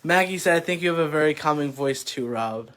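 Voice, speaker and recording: A young man reads viewers' chat messages aloud during a live online class. He sounds somewhat unsure and casual. His voice sits a little higher than most men's. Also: expressive, brisk, fairly bright tone. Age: young adult. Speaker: co-host reading viewer comments.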